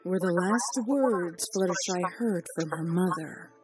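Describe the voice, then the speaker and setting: A narrator reading a grim fan story aloud in a steady, even storytelling voice of middle pitch. Loud music plays underneath throughout. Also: a little slow.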